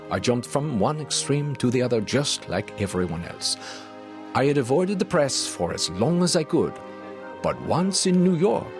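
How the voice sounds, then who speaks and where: A learned gentleman professor played by an actor recounts his tale for an audio drama. His delivery is formal and measured, yet melodic and varied in pitch. Soft music sits behind him. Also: lightly acted. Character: French natural history professor narrating his strange story. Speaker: narrator.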